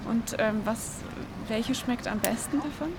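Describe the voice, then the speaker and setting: A woman's voice: fairly high pitch, unhurried. A woman asking passers-by short questions as a street interviewer outdoors. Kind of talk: short vox pop questions. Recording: noisy street with vehicles.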